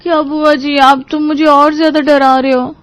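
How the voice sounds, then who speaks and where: A woman's voice: sits high, little pitch movement, normal speed, no echo, natural voice. A woman playing an anxious, earnest young bride-to-be in an audio story, with a girlish manner. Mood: upset, pleading. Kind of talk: Hindi audio story acting.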